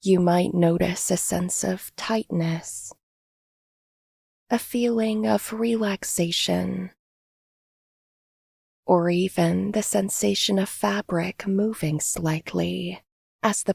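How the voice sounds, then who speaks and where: Female meditation guide, slightly low and breathy-husky, gentle scripted delivery, recorded very dry. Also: no room sound. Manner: gentle, unhurried, instructional. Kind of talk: guided body scan meditation, scripted narration.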